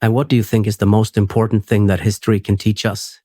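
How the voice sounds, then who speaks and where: A man hosting a sleep podcast, reading a script in a steady, unhurried, soothing way. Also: fairly low pitch, no echo, clean studio sound.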